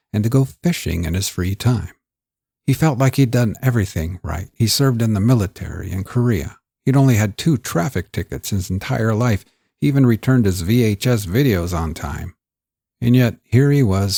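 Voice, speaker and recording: A man narrates crime fiction with a low-pitched, slightly rough-edged husky voice. The delivery is measured and theatrical, like a host of old-school mystery programs. The sound is dry and close, with no room on it. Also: darkish tone, dry recording. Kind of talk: scripted crime story narration.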